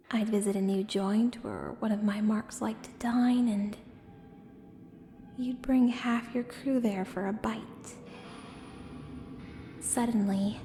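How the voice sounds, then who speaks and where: A woman playing a menacing, coolly seductive contract killer in an ASMR audio roleplay, speaking in a hushed, deliberate, breathy voice with pointed pauses. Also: fairly high pitch. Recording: roomy long reverb, clear background noise.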